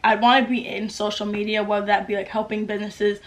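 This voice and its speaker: A young woman of nineteen from the US talks straight to camera, answering fan questions. Her voice sits at an ordinary middle pitch, and her chat is casual and bubbly, with plenty of "like". She sounds close to a camera mic in a room at home. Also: flat delivery, fast, poor audio. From United States. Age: late teens. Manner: chatty, upbeat, lots of "like" fillers. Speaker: YouTuber. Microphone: camera mic with ring light.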